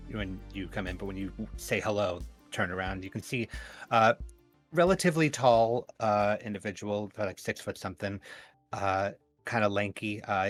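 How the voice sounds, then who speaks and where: A man playing a tabletop game, casually improvising a description in a halting way, searching for words. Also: on the low side, a bit bright. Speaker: tabletop roleplaying player.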